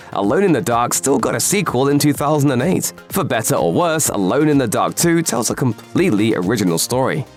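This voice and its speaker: Animated male narrator over background music. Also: medium pitch. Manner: sardonic, emphatic. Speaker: voiceover narrator. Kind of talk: scripted video essay narration.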